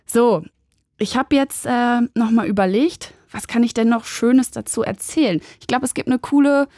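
A young woman from Germany hosting a casual call-in show, chatty and animated, putting questions to her listeners. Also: fairly high pitch, moderate pace, dry recording, clean studio sound. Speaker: radio call-in host.

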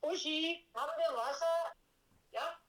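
An actress playing a breezy, obtuse, dismissive waterworks clerk in a comic radio play. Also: female voice, high-pitched, measured pace, a crowd in the background, rough recording. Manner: dismissive, officious.

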